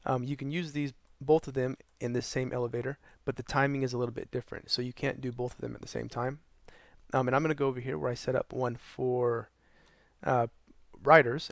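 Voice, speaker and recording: A young man narrating a gaming tutorial while losing his voice, hoarse and tired, rambling with many ums and uhs. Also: no room sound. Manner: tired, low energy. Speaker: gaming channel host. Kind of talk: casual tutorial narration over gameplay.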